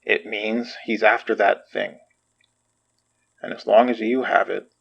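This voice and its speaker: Steady concerned friend, lowish voice, soothing, halting when worried, audio drama. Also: male voice, vocal fry, husky, dull audio, exaggerated voice.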